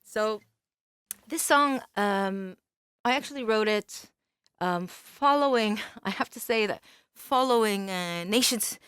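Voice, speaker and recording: A middle-aged woman from Israel, whose first language is Bulgarian, chats to a concert audience as a singer-songwriter in quick, searching speech full of ums and restarts. Her voice is soft and drops low and quiet on the fillers. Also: smooth, no echo, studio quality. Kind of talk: chatting to a remote concert audience.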